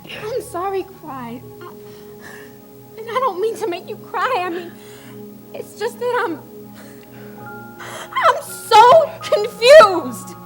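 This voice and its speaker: A woman acting a confused, frightened sixteen-year-old girl in an emotional stage drama, halting and pleading in her delivery. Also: extremely high pitch, very animated, exaggerated voice. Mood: distressed, tearful. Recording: music behind the voice.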